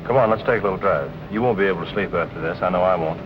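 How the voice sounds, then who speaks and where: Actor as a low-voiced, brooding noir man. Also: racing, noisy background, natural voice. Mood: concerned, probing. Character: brooding, suspicious boyfriend in a noir thriller. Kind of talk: 1940s film noir acting. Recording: muffled 1940s film soundtrack with music.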